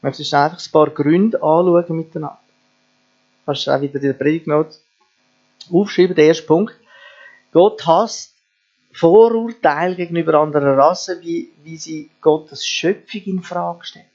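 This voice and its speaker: High-voiced man preaching earnestly. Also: fairly even intonation, moderate pace, a little mellow. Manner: earnest, preaching with rhetorical questions. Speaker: pastor. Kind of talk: sermon. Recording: dull lo-fi sound with mains hum.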